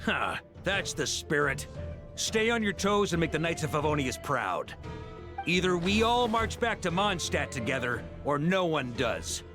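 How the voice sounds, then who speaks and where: A man's voice: a little high, very animated, a little slow, over music. An actor playing a bold knight grandmaster who rallies his troops in a video game. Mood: encouraging. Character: bold male knight grandmaster, rallying battle commander.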